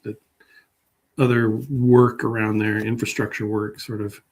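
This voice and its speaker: A man talking casually as an interview guest, halting and unassuming as he thinks aloud. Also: on the low side, level tone. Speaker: guest public historian.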